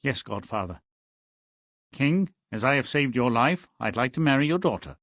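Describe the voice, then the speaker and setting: A man's voice: medium pitch, animated, moderate pace, fairly bright tone, dry recording, studio quality. A man playing a cocky young godson turned physician in a fairy-tale video game, glib and eager. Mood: polite.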